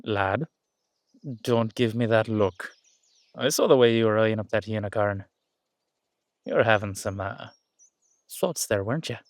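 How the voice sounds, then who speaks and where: A man's voice: fairly low pitch, unhurried, pauses often, no room sound. A man playing an exasperated but affectionate Irish dullahan rider, chatting coaxingly and teasingly to his horse in a roleplay audio drama. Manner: conversational, coaxing, teasing, slangy.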